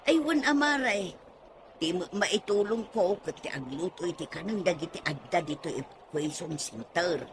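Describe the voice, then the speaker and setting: A woman playing an excitable, sly, bickering village woman in a radio comedy drama, with an Ilocano accent. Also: fairly low pitch, normal speed, natural voice. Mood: friendly. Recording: radio drama with faint background noise.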